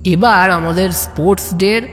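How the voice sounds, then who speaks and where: A man narrates a comic first-person story in an animated, colloquial way, with drawn-out emphasis and playful asides. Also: sits high, faint music behind. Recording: long hall reverb, background noise.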